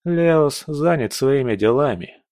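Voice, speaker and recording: A man voicing a cool, smug young man who speaks calmly with quiet mockery. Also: mid-range voice, slow pace, dry recording, studio quality. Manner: calm, mocking.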